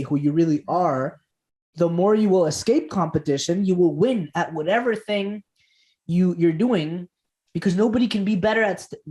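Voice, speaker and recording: A man's voice: sits high, a little mellow. A man talks as a guest on a podcast. He tells stories with enthusiasm, cracks jokes and laughs easily. He often restarts his words as he goes. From Costa Rica. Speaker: guest comedian and speaker. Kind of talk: animated podcast storytelling.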